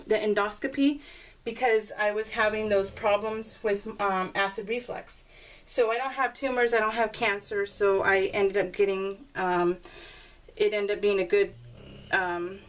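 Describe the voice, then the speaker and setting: A woman's voice: medium pitch, recorded from a distance, poor audio. A woman chatting casually in a rambling, personal storytelling way, like a crafting vlogger talking as she works. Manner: chatty, animated when retelling conversations. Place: indoors at a craft table.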